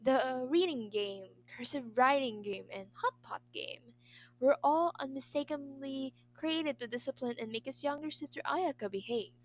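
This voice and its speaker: A woman's voice: high-pitched, moderate pace, a little hiss or hum. A woman narrating a scripted story as an amateur reader. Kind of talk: scripted narration of a fan fiction.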